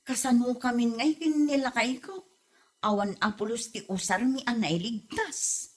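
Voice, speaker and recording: A woman plays a village wife who sounds offended and plaintive. Her voice is fairly high, with an Ilocano accent, acted for comedy audio drama. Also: a little slow, husky. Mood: hurt, plaintive.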